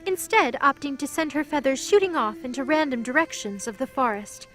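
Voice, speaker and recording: High-pitched woman narrating an audio drama. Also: expressive, brisk, fairly bright tone, soft background music.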